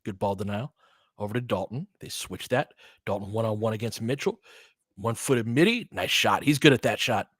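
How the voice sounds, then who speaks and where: A man's voice: lowish voice, expressive, normal speed, fairly bright tone, breathy edge, no echo, clean studio sound. A man doing casual live basketball play-by-play in short clipped phrases, with informal slang and a fan's partisan reactions. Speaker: play-by-play commentator.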